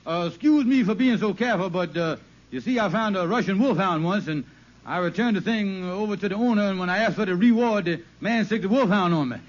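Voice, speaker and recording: A sly American schemer from a vintage radio sitcom. He talks in a high, wheedling voice, hedging and coaxing in folksy grammar, on a muffled old recording. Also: a little fast. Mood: cautious, wheedling. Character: scheming, smooth-talking male lodge brother angling for money. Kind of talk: 1950s radio sitcom acting.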